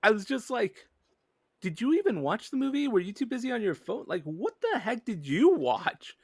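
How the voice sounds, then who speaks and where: A man's voice: sits high, big pitch swings, normal speed, vocal fry, breathy edge, dry recording, studio quality. A man in casual conversation, talking in slangy, exasperated retorts. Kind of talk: casual chat.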